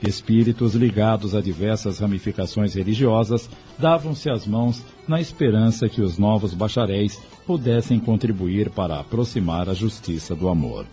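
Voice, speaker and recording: Male narrator, lowish pitch, soft dark tone, scripted audio drama, faint music behind. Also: normal speed, few pauses.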